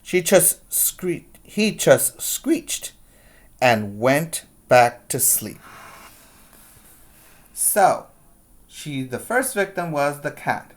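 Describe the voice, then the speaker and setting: Preschool teacher for a read-aloud: slow pace, emphatic storytelling, explanatory asides to small children. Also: mid-range voice, very animated.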